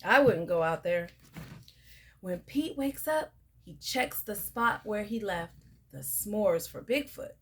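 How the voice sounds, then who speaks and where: A young woman reading a picture book aloud to children, sing-song and pausing to ask the listener questions. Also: mid-range voice, lively intonation, fairly bright tone, breathy edge. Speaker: storyteller.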